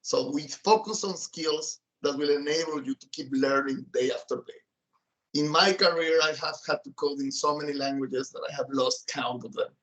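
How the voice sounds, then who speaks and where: A man's voice: on the high side, normal speed, fairly bright tone, poor audio. A man from Mexico City giving a keynote over a video call, listing points and checking in with "right?". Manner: lists points, tags with "right?". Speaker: keynote speaker, software engineer. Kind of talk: keynote talk.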